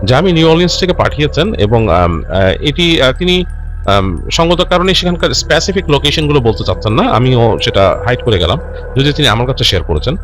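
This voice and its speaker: Man telling stories with lively, swooping pitch. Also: soft background music. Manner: halting, self-correcting, repeats and rephrases phrases as he goes; mixes English words into his speech. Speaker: host narrating listener-submitted ghost stories. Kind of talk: unscripted conversational storytelling.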